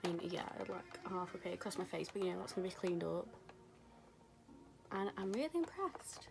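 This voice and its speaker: A young woman, rambling casually through a makeup tutorial, her voice going hoarse. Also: fairly low pitch, lively intonation, moderate pace. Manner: chatty, rambling, lots of fillers. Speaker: beauty vlogger. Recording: music playing behind.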